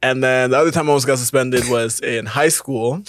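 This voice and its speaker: A young man, a podcast co-host, telling casual stories with animated voices for the people he quotes. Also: mid-range voice, fairly quick, no room sound. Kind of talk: casual storytelling.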